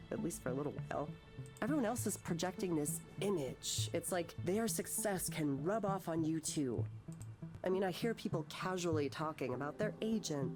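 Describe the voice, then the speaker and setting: An actress voicing an anguished, bitter, self-doubting woman artist in a naturalistic visual novel, speaking haltingly and emotionally. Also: mid-range voice, lightly acted. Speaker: counselling patient.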